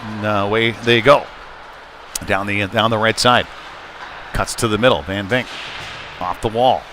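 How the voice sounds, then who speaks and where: A man doing live play-by-play ice hockey commentary in short, halting, conversational phrases. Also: on the low side, fairly even intonation. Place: indoor ice rink. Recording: noisy live arena stream, rink ambience. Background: clear rink noise and ambience.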